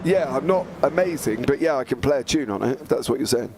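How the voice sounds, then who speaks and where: A man, a sim racing commentator, hoarse and croaky from a recent flu, chatting and calling live race action with excited play-by-play. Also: medium pitch, fast, background noise.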